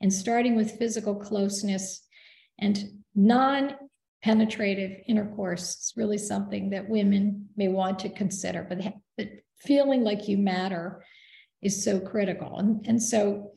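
A woman speaks in a gravelly, mellow tone, losing her voice and clearing her throat often. She talks earnestly and steadily, and her voice rings out in a roomy, echoing space. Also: medium pitch, unhurried. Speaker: guest. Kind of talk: conversational interview.

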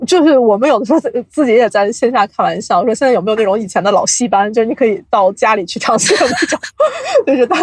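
A woman speaks as an interview guest in a slightly raised pitch. She explains things at length in a casual, conversational way, reaching for examples. The sound is very dry, free of room echo. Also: sing-song, quick pace, a little mellow, breathy edge. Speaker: guest, audio drama producer. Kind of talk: casual podcast interview talk.

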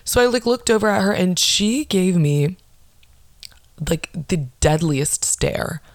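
A young woman hosting a podcast, ranting casually with lots of swearing and sarcastic mock quotes, with a low, non-nasal voice. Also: animated, slow, no room sound, clean studio sound. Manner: sarcastic, swears a lot, acts out mocking quotes. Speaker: podcast host.

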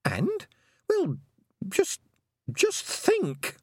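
A man voicing a headstrong, quick-witted, bossy young girl in an audiobook. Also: extremely high pitch, huge pitch swings, no echo, clean studio sound, voice pushed up, exaggerated voice. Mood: indignant, pleading. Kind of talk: audiobook character acting.

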